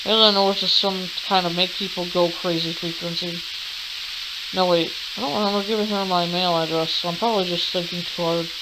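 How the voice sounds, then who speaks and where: A woman's voice: fairly low pitch, heavy background noise. A young woman let's player reading visual novel text aloud, expressively and with the odd stumble. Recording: game music behind the voice. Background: video game music.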